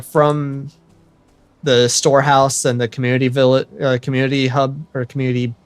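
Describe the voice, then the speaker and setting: A man's voice: mid-range voice, no room sound. A young American man chatting casually to viewers while he livestreams a building game, rambling and correcting himself as he goes. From United States. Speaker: streamer.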